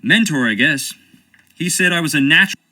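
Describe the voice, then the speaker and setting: A charming, evasive American stage actor in a mystery game, his bright voice swinging up and down with theatrical flair. He answers with wry deflection and playful drama. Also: on the high side, lively intonation, fairly quick, full character voice. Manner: wry, theatrical, dodging questions. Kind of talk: video game voice acting.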